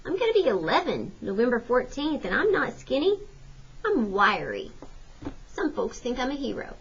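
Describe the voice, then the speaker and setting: A woman's voice: on the high side, wildly swooping pitch, moderate pace, husky, lo-fi sound, pitched above the performer's own voice, heavily acted. A woman reading a storybook in the role of a proud, guarded ten-year-old orphan boy on the run. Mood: defensive, proud.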